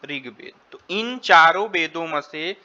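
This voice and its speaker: Indian male quiz tutor, slightly high voice, explanatory and repetitive, lo-fi sound. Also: measured pace, sharp tone. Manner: repeats and rephrases, switches between Hindi and English. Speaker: exam tutor. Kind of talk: quiz lesson, reading questions and explaining answers.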